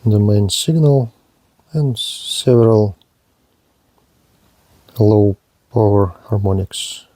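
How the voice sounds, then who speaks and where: Slow, careful man demoing a radio. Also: fairly low pitch, mellow, no room sound. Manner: halting, careful, with pauses and fillers. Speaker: radio reviewer. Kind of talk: slow hands-on product demo narration.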